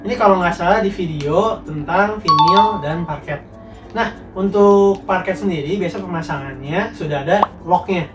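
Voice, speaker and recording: A man's voice: high-pitched, moderate pace. Stuffy-nosed young man explaining building materials. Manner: slangy, explanatory, reads out viewer questions. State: congested nasal voice from a cold. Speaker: contractor answering viewer questions. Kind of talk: casual Q&A monologue. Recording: roomy, mic at a distance, faint music.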